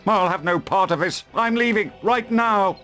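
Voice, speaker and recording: A man playing a haughty, excitable witch hunter with a theatrical, scornful delivery in a fantasy video game. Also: high voice, moderate pace, soft background music. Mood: indignant, scornful.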